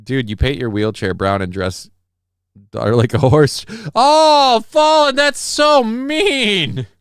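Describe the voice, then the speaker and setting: A man's voice: a little high, animated, takes it slowly, clear, resonant tone, dry recording, clean studio sound. A man hosting a casual live stream from a home setup on a desk mic, joking crudely and reading viewer comments aloud. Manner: mock-grand war speech delivery. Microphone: desk microphone.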